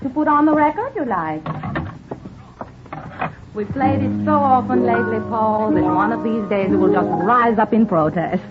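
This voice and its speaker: Poised secret agent played by an actress, old radio. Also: female voice, a little high, unhurried, pauses often, natural voice. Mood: soothing, seductive. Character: poised, seductive Parisian nightclub singer and secret agent. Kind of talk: 1950s radio drama acting, acted dialogue and narration. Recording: muffled 1950s radio, loud music behind.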